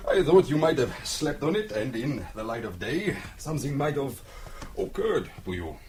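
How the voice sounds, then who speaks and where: A man's voice: medium pitch, big pitch swings, moderate pace, a little mellow, close to an everyday voice. A man playing a genial but sly police captain in an audio drama, courteous and probing. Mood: slyly probing.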